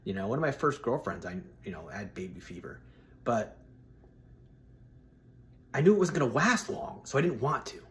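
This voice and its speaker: A man's voice: medium pitch, sing-song, moderate pace, pauses often, a bit bright. A man in his thirties chatting casually and bluntly to his viewers, with informal, buddy-like phrasing. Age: 30s. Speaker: solo video host giving personal opinions.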